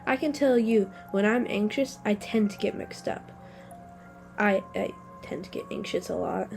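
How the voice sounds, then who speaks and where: A woman's voice: mid-range voice, normal speed, vocal fry, soft background music, natural voice. A woman playing an anxious, bookish young boy in a family audio drama, hesitant and meek. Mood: nervous, hesitant. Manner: hesitant, meek, self-deprecating.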